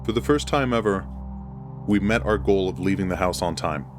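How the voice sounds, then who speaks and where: Low-voiced man narrating over music. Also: moderate pace. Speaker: horror fiction narrator. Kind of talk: scripted first-person audiobook-style story narration. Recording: music bed under the voice. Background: background music.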